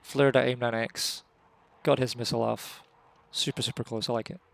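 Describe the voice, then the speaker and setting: A Scottish man giving live, casual, excitable commentary on a competitive flight game match, voice jumping up at the big moments. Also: mid-range voice, normal speed, creaky. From Scotland. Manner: excitable, rises sharply at kills; casual and jokey.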